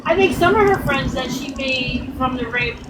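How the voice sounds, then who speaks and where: A woman's voice: high-pitched, lively intonation, normal speed. A woman in her fifties, chatting casually on a live stream, rambling in long run-on stretches and sounding tired. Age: 50s. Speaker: grieving mother, live guest. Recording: noisy audio from off the mic.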